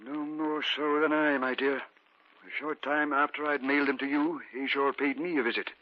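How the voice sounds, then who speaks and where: An actor playing a grave, urgent uncle in an old radio drama, speaking with a husky voice. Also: male voice, fairly high pitch, level tone, moderate pace, lightly acted. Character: grave older uncle Harold, ominous and urgent. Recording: dull old radio recording.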